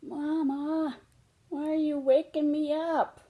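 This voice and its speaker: A woman voicing a curious, excitable young bear cub with childlike wonder in a children's storybook. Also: high voice, takes it slowly, voice pushed up. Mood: sleepy, eager. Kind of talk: children's storybook read-aloud.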